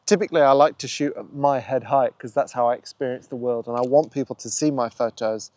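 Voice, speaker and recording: A man of middle pitch talks through his thinking as he photographs outdoors on a windy coast. His delivery is casual and unscripted, a photographer's running commentary on his own work. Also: brisk, a little mellow, dry recording. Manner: musing, thinks aloud while working. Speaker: photographer and channel host. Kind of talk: casual vlog narration on location.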